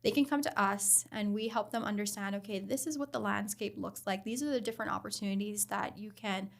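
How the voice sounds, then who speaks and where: A middle-aged woman from the Bahamas, answering interview questions in a friendly, measured way and laughing easily. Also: medium pitch, fairly even intonation, creaky. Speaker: guest, program director.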